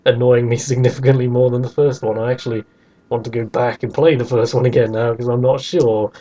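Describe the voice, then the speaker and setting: A man hosting a podcast, chatting casually and with opinions about video games, in an animated way. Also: mid-range voice, brisk, dull audio. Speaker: podcast host.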